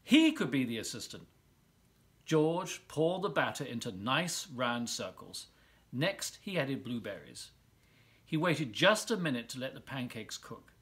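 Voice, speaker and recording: A man reading a children's picture book aloud as a volunteer storyteller, expressively, with drawn-out words and dramatic pauses. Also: mid-range voice, big pitch swings, fairly bright tone.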